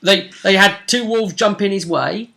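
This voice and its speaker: A man's voice: high voice, normal speed, creaky, pushed and bright. A man talking casually as a game master, explaining events and prompting his partner with rhetorical questions. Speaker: game master recapping a tabletop role-playing session. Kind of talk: casual recap discussion.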